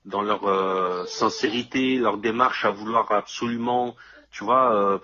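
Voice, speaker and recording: A man's voice: on the low side, steady tone, unhurried, bright, forceful tone, muffled recording. A man in casual conversation, explaining things step by step and often checking that his listener follows.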